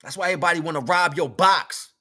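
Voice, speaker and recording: A man's voice: fairly high pitch, fast, pushed and bright. An American man giving a rambling, emphatic, freeform spiritual monologue in casual street slang, swearing freely. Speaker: livestream host, self-styled spiritual teacher.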